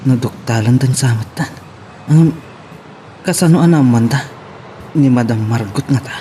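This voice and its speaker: A man's voice: medium pitch, normal speed, mellow, close to an everyday voice. A man playing a timid, evasive employee who sounds baffled and hesitant in an Ilocano audio drama, with an Ilocano accent. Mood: flustered, nervous. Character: flustered, evasive, timid male employee under pressure. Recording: clear background hiss and noise.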